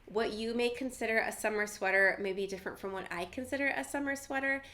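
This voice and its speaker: A woman's voice: on the high side, fast, bright, forceful tone. Chatty, slightly nasal woman. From Southern California. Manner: friendly and chatty, frequent "like" fillers and light laughs. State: stuffy nose from a cold. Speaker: knitting vlogger, host. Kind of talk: casual chatty vlog.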